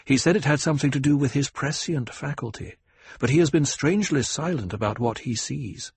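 A man voicing a composed, proud noblewoman in an audiobook, with a husky, lifted delivery. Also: mid-range voice, a little fast, darkish tone, voice pushed up.

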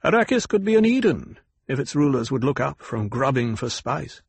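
A man's voice: medium pitch, very animated, normal speed, creaky, husky, no room sound, full character voice. A man voicing a proud, guarded planetologist in an audiobook, speaking in a stiff, curt, formal and emphatic way. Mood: curt, defiant. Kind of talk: audiobook character acting.

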